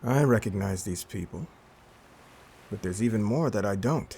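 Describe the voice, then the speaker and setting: Gravelly, brooding former pirate. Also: male voice, fairly low pitch, normal speed, mellow. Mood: subdued, uneasy. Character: brooding, remorseful former pirate overlord, blind. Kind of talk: audio drama acting.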